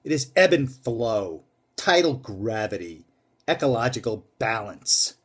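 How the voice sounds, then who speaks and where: A man performing a commanding male corporate tycoon, moving from booming shouts to hushed whispers, with a husky voice. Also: big pitch swings, unhurried. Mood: booming wrath. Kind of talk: dramatic monologue recitation.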